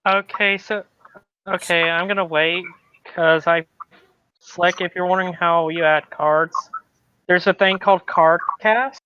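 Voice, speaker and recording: A participant on a group voice call giving quick, helpful explanations in casual chat. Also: medium pitch, fairly even intonation, sharp tone, clear, resonant tone, dry recording. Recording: dull lo-fi voice call audio.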